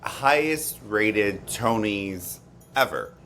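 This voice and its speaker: A man's voice: lowish voice, animated, drawn-out words, sharp tone, a little hiss or hum. A man co-hosting a podcast in casual chat, with an emphatic way of talking. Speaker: podcast co-host.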